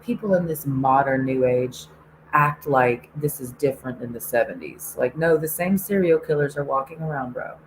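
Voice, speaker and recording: A woman's voice: extremely low pitch, lively intonation, normal speed, slight background noise, poor audio. A woman hosting an online show in a casual, sarcastic rant, often laughing and swearing. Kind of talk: casual ranting monologue.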